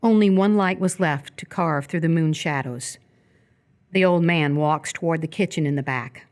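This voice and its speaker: A woman's voice: low voice, normal speed, darkish tone. A woman reading scripted stage directions as the narrator of a live radio theatre piece. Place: live stage performance before an audience.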